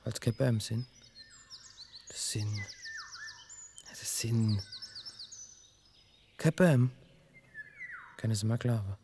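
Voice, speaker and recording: A man playing a stubborn middle-aged forest local in a radio play, muttering in blunt, halting fragments. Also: mid-range voice, animated, darkish tone. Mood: brooding, insistent. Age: middle-aged or older. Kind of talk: naturalistic radio play acting. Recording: outdoor birdsong, faint background noise.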